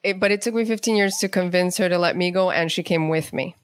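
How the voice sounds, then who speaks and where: A woman's voice: lowish voice, quick pace. A middle-aged woman, an author being interviewed, telling stories in a conversational way. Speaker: guest author and playwright.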